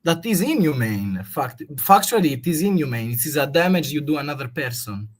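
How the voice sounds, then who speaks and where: Young man, albanian accent, high-pitched voice, halting speech with fillers. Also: very animated. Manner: halting, many restarts and fillers. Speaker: caller. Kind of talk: hesitant call-in chat.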